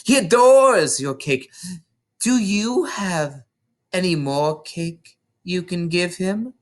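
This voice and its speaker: A menacing storybook headmistress, a bullying woman who booms out commands. Her pitch jumps high and swoops widely, sliding into crafty, sugary persuasion that is plainly false. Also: expressive, slow. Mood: booming, gloating. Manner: booming, commanding, mock-gentle wheedling.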